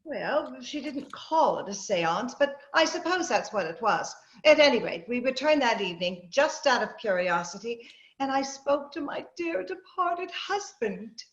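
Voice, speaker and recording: A woman's voice: on the high side, very animated, a little slow. A woman playing a talkative, bossy middle-aged suburban wife, insistent and chatty, in an old-time radio drama. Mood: confiding, chatty. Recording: muffled, roomy video-call audio.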